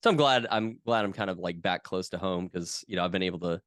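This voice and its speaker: A man in his thirties, a podcast guest from the US West Coast, talking in a relaxed, chatty way with many fillers. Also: sits low, fast, no echo, studio quality. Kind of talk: casual conversation.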